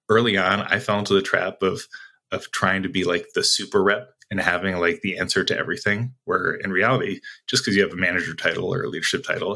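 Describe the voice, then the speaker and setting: A man's voice: low voice, pushed and bright, slightly rough, rough recording. A man answering interview questions as a sales executive guest, talking conversationally in a reflective, explanatory way.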